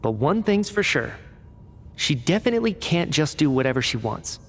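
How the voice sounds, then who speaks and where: An actor playing an analytical male researcher in a video game cutscene, explaining in a measured, cerebral way. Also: fairly high pitch, very animated. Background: game score music.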